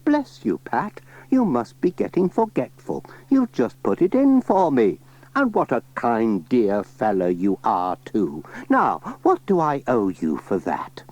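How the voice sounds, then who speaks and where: A man's voice: medium pitch, wildly swooping pitch, measured pace, a little mellow. A man voicing a kindly, bossy, muddled old granny who is hard of hearing, in a children's storybook. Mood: fussy, kindly. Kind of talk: children's storybook cassette reading. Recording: slightly dull cassette, faint music.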